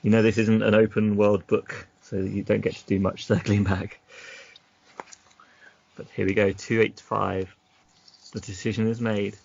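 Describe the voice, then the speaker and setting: A man's voice: on the low side, flat delivery, measured pace, vocal fry, long pauses, darkish tone, husky, lo-fi sound. A man narrating an adventure gamebook as game master, casually, breaking off into chatty asides.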